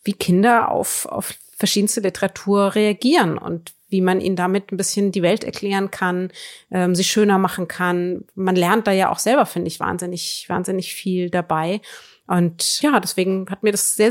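A woman co-hosting a book podcast in casual, spontaneous talk, wordy and repeating words for emphasis. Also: mid-range voice.

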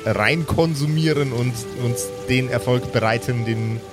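A man co-hosting a podcast, chatting casually and haltingly as he addresses and thanks his audience. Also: mid-range voice. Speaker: podcast co-host. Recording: loud music bed, rain-like sound. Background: loud music.

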